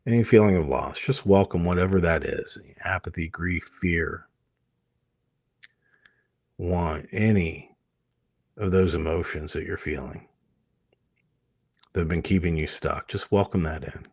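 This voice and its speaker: A man with a low voice leads a guided meditation in a gentle, permissive manner. His tone is husky and breathy, and he leaves pauses between short, soothing phrases. The sound is dry and close, with almost no room to it. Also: no room sound. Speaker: hypnotist leading a guided meditation.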